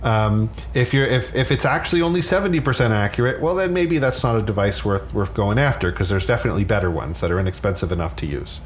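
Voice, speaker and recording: A man's voice: on the low side, expressive, quick pace, few pauses, a little hiss or hum. A man explaining science conversationally as an expert guest, candid, often repeating words as he starts a thought.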